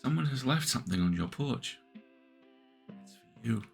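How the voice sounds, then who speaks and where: A man reading interactive fiction aloud on a stream, with measured, atmospheric narration. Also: mid-range voice, animated, vocal fry, soft background music. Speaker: game narrator.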